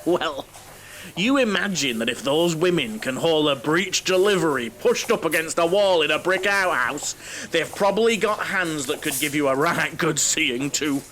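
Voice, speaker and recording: A man's voice: a little high, expressive, moderate pace, a bit bright, poor audio. An actor playing a naive, lonely, chatty middle-aged man with a Northern English accent in an audio play, blunt and earnest, given to comic oversharing. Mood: wistful, lewd.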